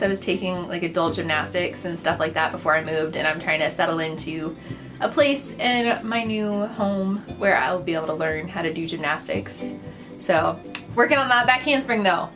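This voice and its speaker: A young woman who grew up in the Netherlands, with Dutch as her first language, chattily listing facts about herself in a casual vlog, upbeat and full of fillers and asides. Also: medium pitch. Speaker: vlogger. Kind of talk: casual list of personal facts to camera. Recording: with clear background music.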